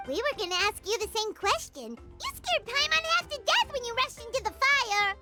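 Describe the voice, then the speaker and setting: A woman voicing a small, excitable floating companion who talks about herself in the third person. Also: very high voice, moderate pace, soft background music, full character voice. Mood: curious. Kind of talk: game cutscene voice acting.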